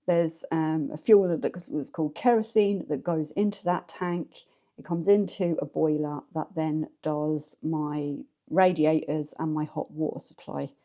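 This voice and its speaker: Chatty low-voiced English woman. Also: fairly even intonation, unhurried, dark tone. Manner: chatty, frank, talks straight to viewers. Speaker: vlogger. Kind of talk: casual chat to camera. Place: her living room at home. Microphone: camera set up in the room.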